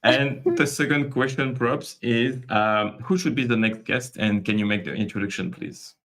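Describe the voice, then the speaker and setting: A man's voice: medium pitch, moderate pace, fairly bright tone. Playful, teasing male host. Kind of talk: casual podcast chat.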